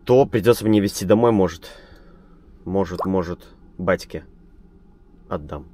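A man who drives a truck, chatting to his viewers in a relaxed, rambling vlog style. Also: low-pitched, a little slow. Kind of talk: casual vlog chat. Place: truck cab and roadside.

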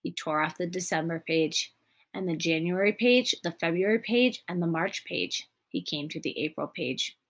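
A young woman reading a children's story aloud in a deliberate manner, as if teaching young children. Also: fairly low pitch. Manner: deliberate, clearly phrased for children.

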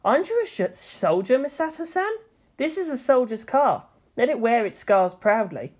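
A woman's voice: a little high, very animated, moderate pace, a little mellow, pitched above the performer's own voice. Casting: woman as serious, slightly awkward teen boy for audio fiction, hesitant and earnest.

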